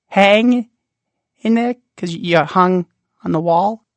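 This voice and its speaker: A man's voice: sits high, takes it slowly, no echo, voice pushed up. A man voicing a lonely, goofy little monster from a storybook, eager and awkward as he jokes. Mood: eager, joking.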